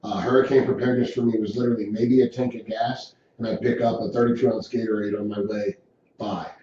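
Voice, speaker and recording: Low, mellow man heard from far off. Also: level tone, fast, slight background noise. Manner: earnest, descriptive storyteller. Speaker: insurance agency owner, guest. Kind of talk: interview answers, storytelling. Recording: distant mic, very echoey room, dull.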